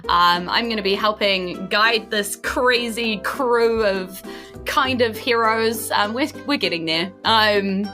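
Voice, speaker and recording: A woman, improvising narration as game master of a tabletop game podcast in a chatty, excitable, emphatic way. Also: mid-range voice, slow pace, faint music behind. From New Zealand.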